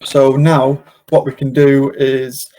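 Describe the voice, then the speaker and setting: Mellow-voiced man giving a software walkthrough. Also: medium pitch, flat delivery, slow, rough recording. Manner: casual, step-by-step explaining. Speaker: webinar host and software demonstrator.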